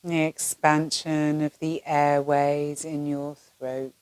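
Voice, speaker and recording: Low voiced woman yoga nidra teacher, really slow and soothing, lots of pauses, dry close sound. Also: little pitch movement, clear, resonant tone, no echo. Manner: soothing, gentle, with long pauses between phrases. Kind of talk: guided relaxation meditation.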